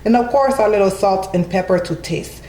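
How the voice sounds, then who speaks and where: A woman talks viewers through a recipe in a relaxed, chatty way, calling them guys. Her voice sits in a middle range. The recording carries a roomy echo from a home kitchen, with faint noise behind. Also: mid-range voice, normal speed. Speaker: cooking channel host. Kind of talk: casual cooking tutorial voice-over.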